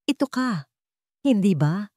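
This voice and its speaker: A woman's voice: mid-range voice, sing-song, takes it slowly, warm tone, dry recording, studio quality, voice pushed up. Woman voicing a cold, accusing billionaire man. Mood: accusing, angry. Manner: controlled, accusatory.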